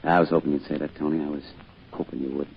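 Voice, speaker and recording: An American actor playing a kindly, gentle bereaved father in a 1940s radio drama, speaking in a hesitant, folksy way. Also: extremely low pitch, flat delivery, very fast, creaky, husky, exaggerated voice. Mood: grave, tender. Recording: tinny old radio broadcast, faint music.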